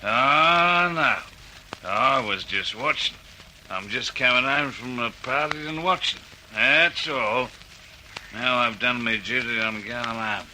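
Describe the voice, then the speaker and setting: Sharp-voiced tipsy know-it-all. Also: male voice, mid-range voice, a little hiss or hum, poor audio. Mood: boozy, indignant. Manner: rambling, repetitive, halting drunken talk. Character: slightly tipsy male witness, stubborn and self-important. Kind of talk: old-fashioned radio drama acting.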